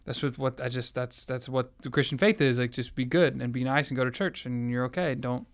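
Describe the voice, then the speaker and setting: Young guy telling his faith story, earnest, smooth voice, pretty even tone. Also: mid-range voice, brisk. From American South. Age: young adult. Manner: earnest, reflective. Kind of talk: personal testimony in conversation.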